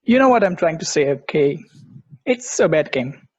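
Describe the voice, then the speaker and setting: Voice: medium pitch, very animated, normal speed, muffled recording. A performer playing an enthusiastic, snarky sports podcast co-host in a casual table read. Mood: mock hostile.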